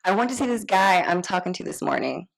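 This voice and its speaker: Lively, creaky-voiced young woman character read by an actress. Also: lowish voice, quick pace, low-quality recording. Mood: coy, hesitant. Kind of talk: live screenplay table read, acting.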